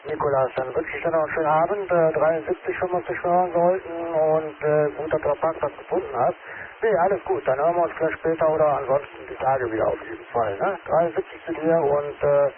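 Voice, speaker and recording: A man's voice: a little high, fairly even intonation, fairly quick, a crowd in the background. A man with a northern German accent chatting casually over amateur radio from home, often tagging his sentences with "ne?". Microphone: handheld radio transceiver. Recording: narrow radio band with static and background noise.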